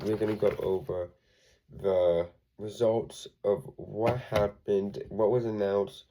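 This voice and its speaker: A man's voice: fairly low pitch, level tone, slow pace, warm tone, a little hiss or hum, rough recording. A young man with a congested voice, rambling through a casual recap full of ums and self-corrections. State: congested from a cold. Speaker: wrestling show reviewer.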